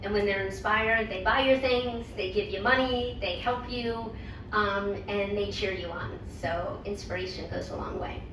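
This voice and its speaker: A woman's voice: medium pitch, normal speed, a bit bright. Encouraging woman host in a roomy hall. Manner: encouraging, emphatic repetition. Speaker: event host and organization leader. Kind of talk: motivational remarks. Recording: roomy, distant mic with noise.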